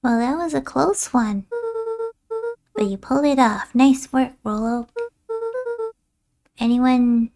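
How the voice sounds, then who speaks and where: An encouraging young crew leader played by a woman speaks slowly in a fairly high voice. The supportive game dialogue sits over faint music. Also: dark tone. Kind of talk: amateur game character voicing.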